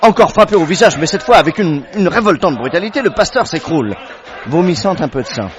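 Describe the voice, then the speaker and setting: An actor playing a loyal companion who tells the tale vividly in a French radio drama and is easily exasperated. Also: male voice, a little high, animated, a little fast, natural voice. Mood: urgent, excited. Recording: dull old radio recording, crowd murmur behind.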